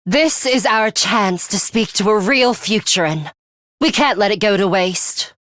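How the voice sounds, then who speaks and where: A woman acts out an aloof young female fighter from a video game, morbid and bloodthirsty beneath a composed surface. She speaks formally and without hurry, at a middling pitch, with deadpan disdain. The sound is dry and free of any room. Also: unhurried, no echo, natural voice. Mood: calm, determined. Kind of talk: English video game voice acting.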